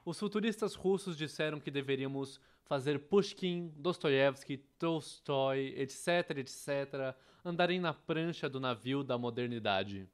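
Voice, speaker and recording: A man's voice: mid-range voice, moderate pace, few pauses, smooth. A man with a Brazilian accent reading a scripted educational narration, with a wry, ironic manner. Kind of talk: scripted explainer narration, dubbed.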